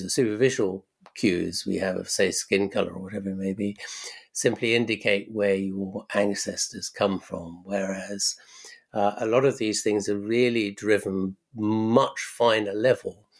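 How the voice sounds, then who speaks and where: Gravelly, hesitant man, a Swahili speaker. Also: fairly low pitch. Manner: hesitant, many fillers and restarts. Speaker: academic guest. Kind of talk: thoughtful interview answers.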